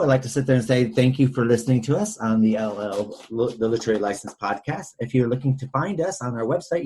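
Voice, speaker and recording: Husky-voiced man hosting a podcast. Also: mid-range voice, a little mellow. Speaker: podcast host. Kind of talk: casual podcast sign-off.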